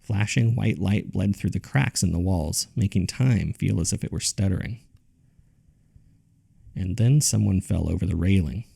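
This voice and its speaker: An actor playing a haunted man who recounts a terrifying ordeal in grave, deliberate, gravelly first-person narration for a horror audio drama. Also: low voice, mellow, heavily acted. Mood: shaken, haunted.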